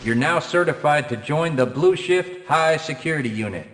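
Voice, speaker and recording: A man playing a formal, measured training course announcer giving instructions in a video game. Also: a little high, animated, very noisy, lightly acted. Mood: formal, instructive. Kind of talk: scripted game tutorial narration.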